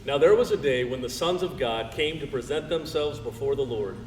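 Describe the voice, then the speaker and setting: A man's voice: mid-range voice, lively intonation, fairly quick, darkish tone. A man reading scripted narration for a dramatized Bible story. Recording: echoey hall, distant, clear music behind.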